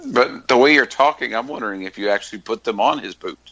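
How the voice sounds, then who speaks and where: A man's voice: mid-range voice, fast, pushed and bright, no room sound. A man co-hosting a wrestling podcast, teasing his co-host in a dry, casual way. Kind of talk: casual chat. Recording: dull lo-fi podcast audio.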